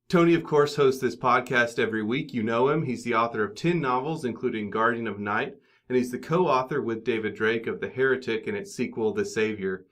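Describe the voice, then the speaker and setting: An American man with a wry, dryly joking manner, hosting a podcast round table and introducing guests. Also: mid-range voice. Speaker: podcast host.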